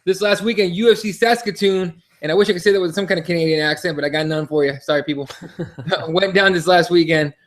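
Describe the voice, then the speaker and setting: A man co-hosting a casual sports talk podcast, speaking in a halting, repetitive way with loose, sweary language. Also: high voice. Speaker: podcast co-host.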